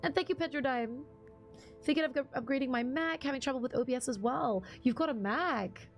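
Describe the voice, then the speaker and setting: A young woman with a husky, slightly high voice chats loosely with her stream viewers. She rambles, trails off and restarts her sentences. Soft game music plays behind her. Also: fairly quick. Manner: chatty, rambling, frequent restarts and fillers. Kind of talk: casual live-stream chat reading viewer comments.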